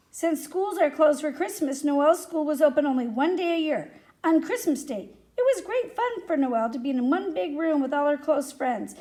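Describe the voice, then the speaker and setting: Female storyteller, high voice, animated and expressive, reading a picture book to young children. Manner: animated, addresses young listeners with questions. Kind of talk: expressive read-aloud for children.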